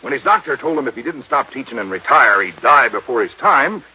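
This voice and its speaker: A very rough, husky voice through dull old radio sound: a folksy American insurance agent. He is talkative and familiar, his pitch moving freely as in 1950s radio drama. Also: big pitch swings, a little fast. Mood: earnest explaining. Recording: muffled vintage radio recording.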